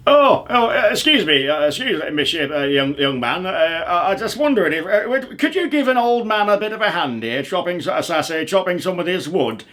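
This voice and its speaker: A man's voice: high-pitched, lively intonation, brisk, few pauses, a bit bright, pitched above the performer's own voice. A man voicing a doddery, polite old man from a children's storybook, stammering and muddling his words. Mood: hesitant, pleading.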